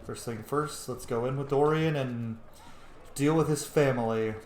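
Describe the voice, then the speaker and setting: Man, mid-pitched voice, echoey room, casual game commentary, talking half to himself. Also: a little slow, a little mellow. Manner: mutters to himself while playing. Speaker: game streamer, let's player.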